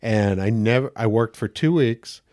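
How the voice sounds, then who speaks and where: Male guest actor, soft higher-pitched voice, lively anecdotes, quick laughs. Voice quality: slightly high, gentle voice. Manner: animated storyteller, laughs often, acts out quotes. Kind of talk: casual podcast chat.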